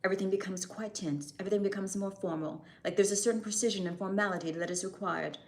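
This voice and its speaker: A woman's voice: medium pitch, fairly even intonation, quick pace, a little mellow. Chatty young American woman streamer, talking casually and laughing easily. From United States. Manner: chatty, answers chat comments, laughs often. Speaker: live streamer. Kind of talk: casual live-stream chat.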